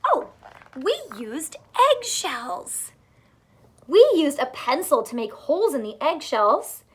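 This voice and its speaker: A woman teacher reading a picture book aloud to young children, pausing to explain simply and ask questions, drawing out words for emphasis. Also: high-pitched, a bit bright.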